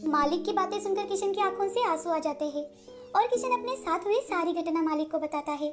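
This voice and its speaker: Female story narrator, extremely high pitch, scripted delivery over faint music. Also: fairly quick.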